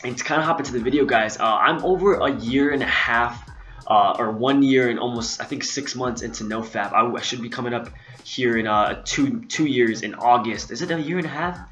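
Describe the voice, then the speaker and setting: Fast-talking young man, rambling vlog. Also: medium pitch, fairly bright tone, soft background music. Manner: rambling, filler-heavy, chatty. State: slightly out of breath. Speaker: vlogger. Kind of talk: casual talk to camera. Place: home room, indoors. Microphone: laptop built-in mic.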